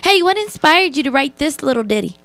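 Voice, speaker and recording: A woman's voice: sits high, sing-song, a little fast, crowd noise. A woman hosting a radio interview, upbeat and giggly. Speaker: radio show host.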